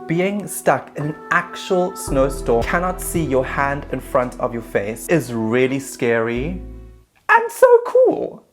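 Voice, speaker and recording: A man narrating his own travel story in a casual, self-mocking voice-over. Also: mid-range voice.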